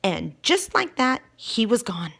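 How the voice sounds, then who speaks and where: Animated woman reading a children's storybook. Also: a little high, creaky, husky. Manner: expressive, drawn-out emphasis on key words. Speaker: teacher reading a picture book aloud. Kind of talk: animated children's read-aloud with questions to the listeners.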